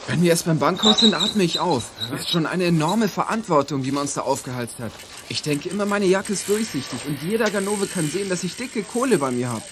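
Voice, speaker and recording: A man's voice: a little high, animated, brisk, noisy background, lightly acted. A male actor in a German audio drama playing an anxious, fretting schoolboy. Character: anxious schoolboy burdened by responsibility.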